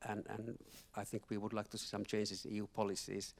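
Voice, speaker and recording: A man speaking as a panelist at a conference workshop, talking haltingly with many repeated words and restarts. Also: on the low side, completely flat delivery, gravelly, a little mellow. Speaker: panelist from a finance institution. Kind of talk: panel discussion remarks. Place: conference hall.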